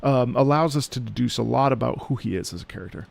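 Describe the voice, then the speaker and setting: A man who is an opera singer giving reaction commentary on game music, halting and thoughtful as he searches for words. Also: mid-range voice, vocal fry. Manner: breaks into sung demonstrations of musical phrases.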